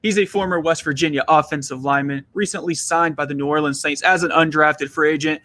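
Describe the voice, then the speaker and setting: Upbeat bright-voiced male host. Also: a little high, fairly even intonation, fast. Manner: upbeat, easygoing, laughs often. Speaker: podcast host. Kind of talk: casual interview.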